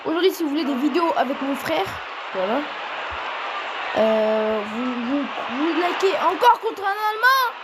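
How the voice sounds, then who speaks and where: A young man from Portugal commentating his own football quiz game, excitable and prone to shouting and swearing at opponents. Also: extremely high pitch, normal speed, heavy background noise. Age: teens. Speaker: gaming youtuber.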